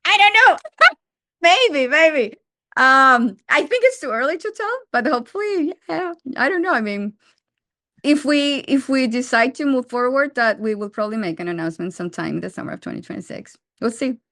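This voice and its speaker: A woman's voice: high voice, expressive, normal speed, pushed and bright, dry recording, studio quality. A woman in her forties with a Colombian accent, a startup founder answering interview questions with frequent restarts and fillers. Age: 40s.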